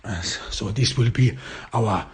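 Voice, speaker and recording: Very husky man. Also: fairly low pitch, slow pace, a little mellow. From Austria. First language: German. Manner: searching for words in a second language. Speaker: podcast host. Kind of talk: casual solo podcast monologue.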